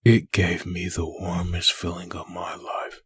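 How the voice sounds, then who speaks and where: A man playing a brooding, lovesick husband haunted by memories, in a husky voice for a dramatic comic dub. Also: sits low, completely flat delivery, measured pace, a bit bright, no room sound, soft background music, heavily acted. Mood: wistful. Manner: brooding inner monologue.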